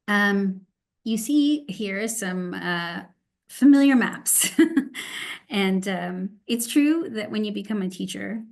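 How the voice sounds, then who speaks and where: A middle-aged woman with a Canadian English accent gives an online presentation as a guest speaker, halting and nervous, with frequent ums and restarts. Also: mid-range voice, animated, vocal fry. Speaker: guest speaker, Montessori teacher educator. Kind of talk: online presentation with shared slides. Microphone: video call.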